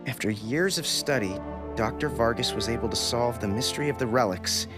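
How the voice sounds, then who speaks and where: A man's voice: mid-range voice, brisk, loud music behind the voice, lightly acted. A man playing an eager, cheeky young human hero in a video game, earnest and teasing. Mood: excited. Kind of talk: video game cutscene acting.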